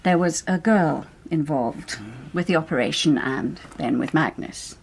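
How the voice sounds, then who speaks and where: A woman's voice: low-pitched, measured pace, vocal fry, a little hiss or hum. An actress playing a shrewd, secretive British intelligence officer in a radio drama, intimate and pointed in her delivery. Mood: confiding.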